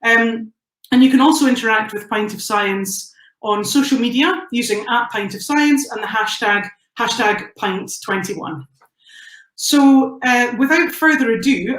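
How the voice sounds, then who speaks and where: A woman's voice: on the high side, a bit bright, reverberant, poor audio. A woman with a Scottish accent hosting a live online science event, chatty and welcoming as she greets viewers and introduces speakers. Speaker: host.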